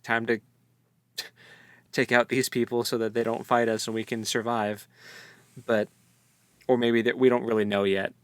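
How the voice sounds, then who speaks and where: A man's voice: medium pitch, flat delivery, moderate pace, pauses often, dry recording. A man co-hosting a casual book discussion, speculating in loose, colloquial language. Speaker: co-host of a book read-along podcast.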